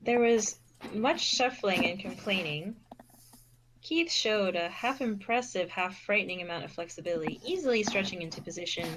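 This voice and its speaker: A woman's voice: medium pitch, lively intonation, normal speed, noisy background. A woman giving scripted narration as the narrator of an amateur fan fiction reading.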